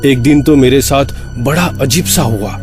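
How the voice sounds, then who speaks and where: An actor playing an anxious, exasperated man who tells his eerie story in a horror audio drama, halting and repeating words for suspense. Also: mid-range voice, music in the background, natural voice. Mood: puzzled recounting. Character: anxious, exasperated male flat owner recounting eerie events. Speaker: narrator and lead of a horror story.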